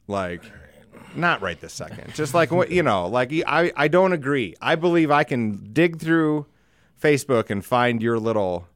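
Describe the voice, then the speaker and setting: A man's voice: mid-range voice, animated, moderate pace, dry recording. A middle-aged man hosting a podcast, chatting casually and comedically with a teasing, profane streak. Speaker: podcast host.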